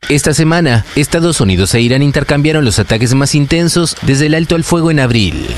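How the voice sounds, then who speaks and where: A man's voice: on the high side, animated, brisk, slight background noise. A man reading scripted international news narration and translated voice-over.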